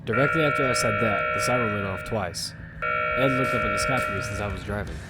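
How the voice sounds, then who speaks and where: A man's voice: fairly low pitch, quick pace, lightly acted. An actor narrating as an earnest young squad leader telling his own story in an audio drama. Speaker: narrator and lead character. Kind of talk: first-person audio fiction narration. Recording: music bed underneath.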